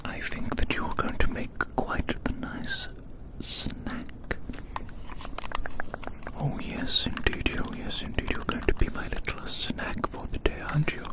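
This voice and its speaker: A gloating giant speaks in a breathy whisper with no voiced tone, as in an ASMR roleplay. The delivery is playfully menacing and savouring, with phrases repeated as the giant toys with tiny prey. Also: very high-pitched. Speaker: ASMR performer.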